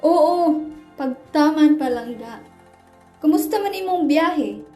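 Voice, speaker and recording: A woman's voice: sits high, lively intonation, slow, mellow, soft background music, full character voice. A woman acting as an affectionate but nagging young girlfriend in a radio drama. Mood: excited, affectionate.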